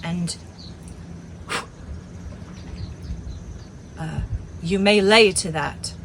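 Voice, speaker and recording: A woman reading an audiobook, voicing a crafty, blustering one-legged male pirate cook with loud sea-dog talk. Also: fairly low pitch, slow, slight background noise.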